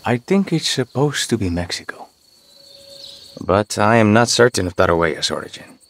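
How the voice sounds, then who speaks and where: Low-voiced, serene, enigmatic guide. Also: male voice, animated. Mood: grave, helpful. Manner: measured, formal, philosophical. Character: serene, enigmatic male author, a figment guide. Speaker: video game voice actor. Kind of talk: cinematic game dialogue.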